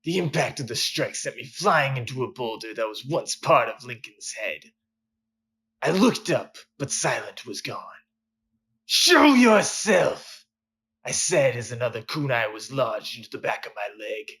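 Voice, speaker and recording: A man's voice: medium pitch, normal speed, a bit bright. A man reading his own short story as a dramatic, suspenseful narration with theatrical delivery.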